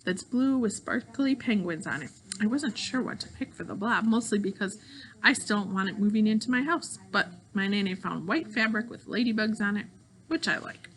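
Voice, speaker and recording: A woman's voice: mid-range voice, animated, moderate pace, fairly bright tone, a little hiss or hum, natural voice, rough recording. A woman voicing a precocious, dramatic little girl who tells her life story earnestly and in grand words. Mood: earnest, pleased.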